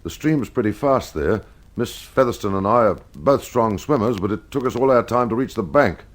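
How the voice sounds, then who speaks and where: A man's voice: on the low side, expressive, normal speed, voice pushed up, natural voice. A man playing a distraught author husband giving an urgent, gasping account in a narrated radio mystery.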